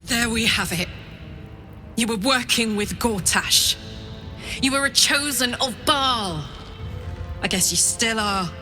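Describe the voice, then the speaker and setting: A plain-spoken, guarded young woman in a fantasy game. Her voice is bright and tense, moving slowly and haltingly over soft music. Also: fairly high pitch. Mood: hurt, betrayed. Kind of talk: game dialogue acting.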